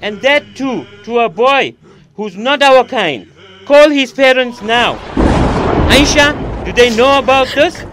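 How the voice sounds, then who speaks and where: A man playing an angry, strict, middle-aged father in an audio drama, shouting in furious outbursts. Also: very high-pitched, expressive, slow, slight background noise, heavily acted.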